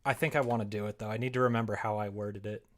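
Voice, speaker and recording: A man's voice: fairly low pitch, steady tone, rapid-fire. Casual male streamer thinking aloud. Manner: thinks aloud, informal, rambling. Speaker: streamer writing a visual novel. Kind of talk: casual livestream chat.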